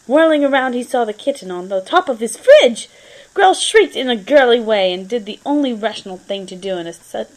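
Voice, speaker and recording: A woman with a fairly high voice gives a dramatic reading of a humorous story. Her narration is expressive and theatrical, told as a storyteller. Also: low-quality recording.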